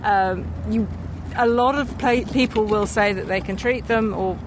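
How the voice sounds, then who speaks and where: A woman's voice: mid-range voice, measured pace. A woman being interviewed as a speaker, talking casually and thoughtfully in a park, with some hesitant phrasing. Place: outdoors in a park. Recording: outdoor recording, clear traffic noise.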